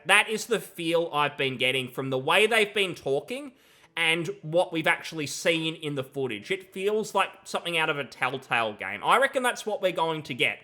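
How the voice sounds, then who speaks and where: Bright-voiced young man giving critical commentary. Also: a little high. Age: young adult. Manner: disapproving, emphatic. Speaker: gaming commentator. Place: small room at home. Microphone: close desk microphone, headset on.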